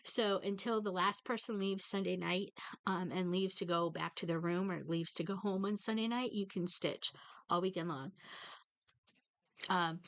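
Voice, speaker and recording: A woman's voice: fairly low pitch, fairly even intonation, moderate pace, fairly bright tone, dry recording. A woman vlogger chatting casually about stitching, stuffed up from a head cold and a bit tearful, rambling with fillers and restarts. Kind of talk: casual chat to viewers.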